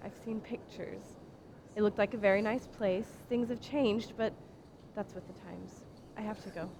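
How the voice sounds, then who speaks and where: A woman's voice: medium pitch, fairly even intonation, moderate pace, background noise, natural voice. A young woman playing a prim, guarded librarian who speaks curtly, on a theater stage at a stand mic. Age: young adult. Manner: curt, clipped. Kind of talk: live radio play acting. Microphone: vintage stand mic on stage.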